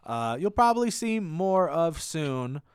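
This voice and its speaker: A man's voice: fairly high pitch, very animated, unhurried, smooth, dry recording, clean studio sound. A man co-hosting a podcast, talking casually as a radio play writer.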